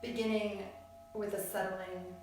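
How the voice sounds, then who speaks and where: A woman teaching yoga nidra, guiding relaxation in a soothing, unhurried manner with short phrases and long pauses. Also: medium pitch, little pitch movement, darkish tone, a little hiss or hum. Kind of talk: guided relaxation meditation. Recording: very echoey room, distant mic, music behind. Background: music.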